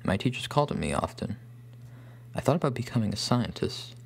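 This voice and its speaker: An actor playing a solemn, bookish loner recalling his boyhood, narrating a scripted horror story with an American accent and grave, measured phrasing. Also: medium pitch, lively intonation, gravelly, breathy edge. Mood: wistful. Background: guitar music.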